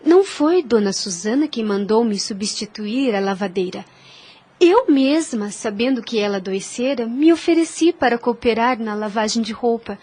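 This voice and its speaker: A humble young governess played by a woman in a Brazilian radio play. Her voice is slightly high and husky, and she speaks with earnest, formal gentleness. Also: animated, normal speed. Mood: respectful. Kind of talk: audio drama acting.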